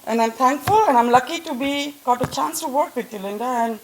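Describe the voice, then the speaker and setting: Woman, Indian accent, talkative speaker at a staff event, bright higher pitch. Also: lively intonation, fairly quick, rough recording. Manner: chatty, laughing at own jokes. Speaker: colleague giving a tribute speech. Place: event room with an audience.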